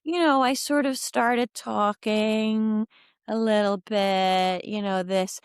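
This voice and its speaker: A woman in her fifties speaks with a Belfast Irish accent, in a middle-pitched voice. She tells her story candidly and with animation, slipping into quoted asides. The recording is dry and close, with little room sound. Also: steady tone, slow, clear, resonant tone, no room sound. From Northern Ireland. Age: 50s. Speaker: guest. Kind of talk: reflective interview conversation.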